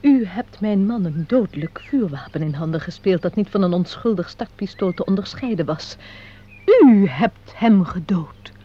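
Actress as an imperious widow with swooping pitch. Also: female voice, lively intonation, normal speed, lightly acted. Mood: accusing, knowing. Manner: haughty, formal, theatrical declamation. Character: imperious, scheming widow and company director. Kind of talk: Dutch radio play acting. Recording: dull, muffled radio play recording.

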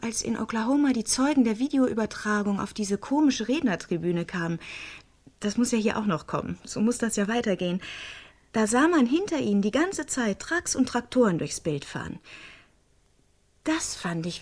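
Higher-voiced, pondering studio guest. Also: female voice. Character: thoughtful female studio guest recalling what she witnessed. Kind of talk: conversational interview answers in radio drama.

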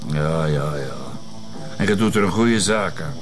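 A man's voice: deep voice, measured pace, soft background music. An actor playing a stressed, curt man making shady deals, with a Flemish accent, in a naturalistic TV drama. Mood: tense, curt.

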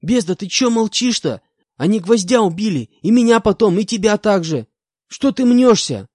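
A man's voice: high-pitched, expressive, normal speed, darkish tone, husky, no room sound, studio quality, pitched above the performer's own voice. A man voicing a whiny young male convict with a scraggly beard, pleading in panic. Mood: panicked.

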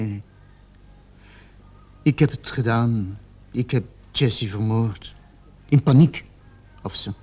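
An actor playing a distraught young man in a radio play, confessing haltingly and repeating his words in agitation. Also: mid-range voice, a little mellow, lightly acted. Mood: remorseful, shaken.

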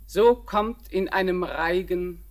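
An older woman playing a solemn grandmother in a German radio drama, speaking in a measured, dignified and deliberate way. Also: fairly low pitch, darkish tone. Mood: solemn, reminiscing.

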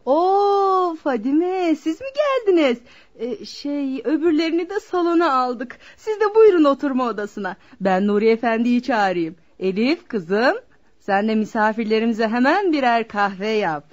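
A homely middle-aged mother in a radio drama. Her voice sits a bit high, with a hospitable, bustling delivery. The recording is dull and lo-fi. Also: lively intonation, a little slow. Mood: welcoming, bustling. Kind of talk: Turkish radio theater acting.

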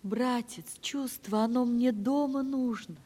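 A resolute, lovelorn young woman in an old radio play. Her voice is lightly high and husky, hushed and pleading. A long hall reverb surrounds it. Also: slow. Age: young adult. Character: desperate, resolute poor young niece in love. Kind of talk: 1970s Russian stage play radio acting.

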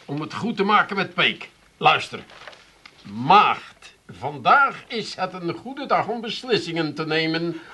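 An actor playing an eager, naive busybody in a comic radio play, raspy, insistent and prone to stammering. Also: male voice, on the high side, sing-song, measured pace, pushed and bright, exaggerated voice. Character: eager, naive male busybody reading out horoscopes.

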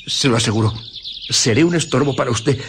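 An actor playing a timid, miserable reluctant accomplice with a stammering, pleading and hesitant manner in a radio drama. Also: male voice, mid-range voice, fairly quick, slight background noise, natural voice. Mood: miserable, whining.

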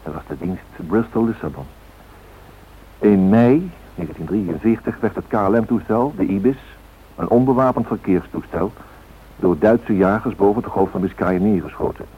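An actor playing a solemn, reflective narrator in a radio play, reading in a measured way. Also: male voice, on the low side, husky. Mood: solemn, factual. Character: solemn, reflective male documentary-drama narrator. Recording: tinny hissy old radio recording.

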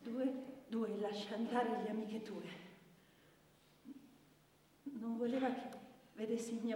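A woman's voice: fairly high pitch, warm tone. A woman playing a wounded, worn-down wife who recounts her abuse as a stage monologue. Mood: chanting, bleak, then anxious. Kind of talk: stage monologue with chanted counting rhymes. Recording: distant echoey hall recording, faint noise.

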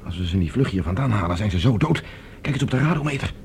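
An actor playing a level-headed, decisive man in a science fiction radio drama, calm and commanding. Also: on the low side, fast talker. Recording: faintly noisy radio play recording.

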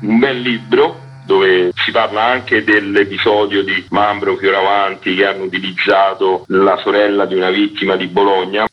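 A man's voice: low voice, flat delivery, moderate pace, few pauses, lo-fi sound. A man speaking as an activist guest in a radio interview, informally running through an event in a plain-spoken way.

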